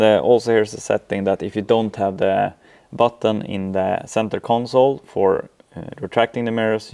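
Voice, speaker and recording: Swedish guy walking thru software settings, mellow n gravelly, lots of ums, kinda halting. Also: fairly low pitch. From Sweden. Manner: hesitant, halting delivery with frequent ums. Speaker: tutorial presenter. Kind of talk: informal software walkthrough.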